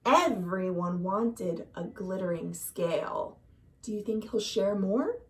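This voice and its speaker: A woman reading a picture book aloud to children as a storytime host, expressive and deliberate. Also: medium pitch, far from the mic. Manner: dramatic, deliberate, addresses young listeners. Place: at home.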